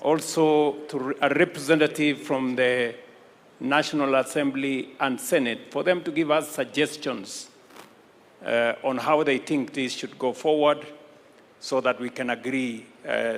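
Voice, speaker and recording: Man, forum chair, slow measured speech, echoing hall sound. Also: mid-range voice, fairly bright tone. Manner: measured, deliberate, with fillers. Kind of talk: moderating a public forum. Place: large conference hall. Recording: long hall reverb.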